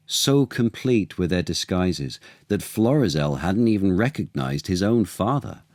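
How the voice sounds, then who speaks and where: Slow, low-voiced male storyteller. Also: a little mellow, no room sound, clean studio sound. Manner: theatrical, unhurried, with dramatic pauses. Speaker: actor reading aloud as storyteller. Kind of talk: expressive children's storytime narration of a literary tale.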